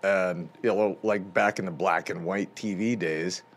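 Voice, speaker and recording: A man's voice: low-pitched, a little slow. A man from Montana with a northern US accent, co-hosting a podcast in casual, joking chat. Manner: animated, joking, swears casually. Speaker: podcast co-host.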